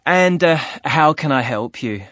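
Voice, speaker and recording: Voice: medium pitch, animated, unhurried, a bit bright, dry recording. A chatty club clerk. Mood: friendly. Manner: friendly, chatty, with ums and small laughs. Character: friendly office clerk taking a new member's details. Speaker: club receptionist. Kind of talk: scripted listening-test dialogue. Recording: dry, slightly dull studio recording.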